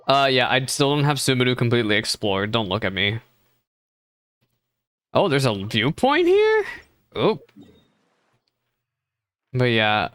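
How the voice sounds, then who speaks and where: A man chats through a game as a streamer, expressive and prone to sudden outbursts. His voice is smooth and clean at a mid pitch. The sound is dry and close, with no room echo. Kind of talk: casual live reaction commentary.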